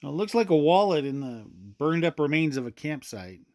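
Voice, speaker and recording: A man's voice: on the high side, lively intonation, normal speed, a little mellow, dry recording. Man playing an easygoing park ranger, dry sound. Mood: curious. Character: easygoing, hesitant male park ranger, haunted orphan. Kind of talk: audio drama dialogue.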